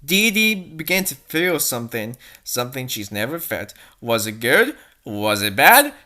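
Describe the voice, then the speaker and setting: A woman's voice: very deep voice, sing-song, a little slow, bright, forceful tone. A woman narrating bad fan fiction with theatrical, sarcastic delivery and commenting as she goes. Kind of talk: mocking dramatic fanfic reading.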